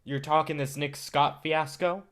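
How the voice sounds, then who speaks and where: A man's voice: fairly high pitch, fairly even intonation, a little slow, fairly bright tone. A man in a staged play reading, acting the part of a curt, domineering film company boss with a dismissive, profane manner. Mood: irritated, brusque.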